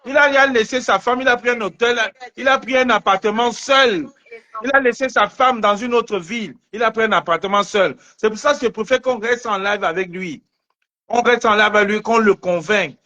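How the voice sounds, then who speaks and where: A man runs a live stream and talks with urgent, repetitive insistence, calling a name over and over. His voice sits high for a man and rings bright and forward. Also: normal speed, highs cut off. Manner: urgent, insistent, repeats names. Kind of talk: pleading live-stream talk.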